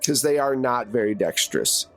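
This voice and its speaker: A man's voice: mid-range voice, expressive, normal speed, music in the background. A man speaking as game master, narrating action to players in a conversational way with casual asides.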